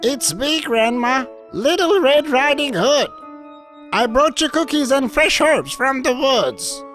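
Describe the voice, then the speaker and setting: Woman acting, sly wolf as fake grandmother, fairy tale cartoon, coaxing, very slow, faint music behind. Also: high voice, lively intonation, fairly bright tone, exaggerated voice. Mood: falsely sweet. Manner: drawn-out, coaxing. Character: sly big bad wolf posing as a sweet grandmother.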